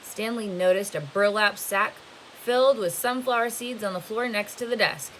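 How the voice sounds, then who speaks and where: A woman reading a novel aloud as a teacher would to students, with expressive storytelling narration. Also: medium pitch, moderate pace, low-quality recording. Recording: faint hiss behind the voice. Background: faint steady hiss.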